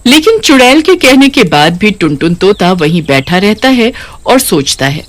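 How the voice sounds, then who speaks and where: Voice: medium pitch, big pitch swings, normal speed, a bit bright. A narrator reading a scripted cartoon story.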